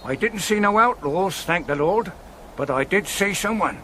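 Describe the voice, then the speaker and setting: A man's voice: high voice, lively intonation, moderate pace, sharp tone, slight background noise. An actor playing an earnest, wary village watchman in a radio drama, plain-spoken and deferential. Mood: earnest reporting.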